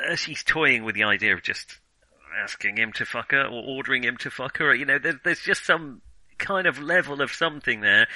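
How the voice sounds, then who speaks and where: Guy on a movie podcast, really bright edgy voice, dry sound, kinda halting and hesitant as he chats. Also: medium pitch, no echo, poor audio. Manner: hesitant, halting delivery with trailing thoughts. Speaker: film podcast co-host. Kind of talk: casual film discussion.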